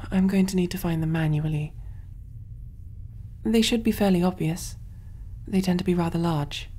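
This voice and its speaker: A woman voicing a kind, clinical alien surgeon in a gentle, reassuring ASMR roleplay, soft-spoken and often whispering. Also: fairly low pitch, breathy edge.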